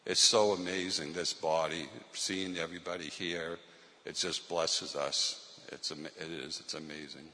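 Boston-accented male pastor, low and bright, earnest testimony, reverberant hall. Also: fairly even intonation, unhurried, slight background noise. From Boston, Massachusetts. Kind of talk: testimony in a panel talk.

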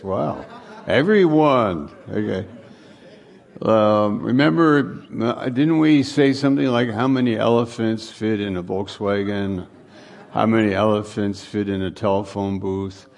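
A male pastor speaking informally to his congregation, halting and repeating words as he goes, often putting questions to them. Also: on the low side, vocal fry, darkish tone. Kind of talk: informal sermon, welcoming guests to congregation. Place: live church service.

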